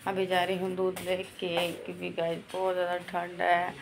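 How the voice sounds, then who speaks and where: A young woman in her twenties with a hoarse throat chats casually as she films herself around her home and up on the roof. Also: lowish voice, completely flat delivery, normal speed, noisy background. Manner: chatty, walking and filming herself while doing chores. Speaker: vlogger. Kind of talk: casual vlog chat. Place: home kitchen and open rooftop. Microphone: phone held at arm's length.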